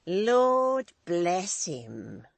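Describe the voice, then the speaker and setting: Reader doing a slow, coaxing old woman. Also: mid-range voice, very animated, vocal fry, no echo, pitched above the performer's own voice, exaggerated voice. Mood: slow, wheedling. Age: older. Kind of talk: audiobook character voice.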